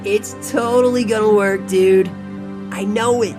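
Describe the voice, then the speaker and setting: A man's voice: high voice, fairly even intonation, a little slow. A man playing an upbeat, laid-back teenage mutant turtle in an audio comic drama, slangy and earnest. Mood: hopeful. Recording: music under the voice.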